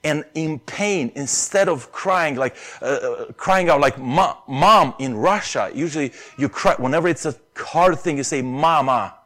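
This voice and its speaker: Romanian-accented preacher with a high voice. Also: male voice, expressive, a little slow, hardly any pauses, fairly bright tone. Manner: earnest, rises to emphatic stresses on key phrases. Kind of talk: sermon.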